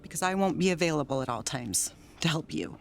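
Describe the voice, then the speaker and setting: An actress playing an emotionally volatile, lonely, newly sober wife in a theatrical audio drama monologue. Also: female voice, sits low, animated, fairly quick. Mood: wistful, confiding. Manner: theatrical, swinging between outbursts and confiding murmurs.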